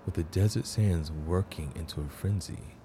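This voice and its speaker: Man, very low pitch, soft dark tone, meditation guide, measured pauses, faint background noise. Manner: slow, measured phrasing with long pauses. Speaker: meditation guide and podcast host.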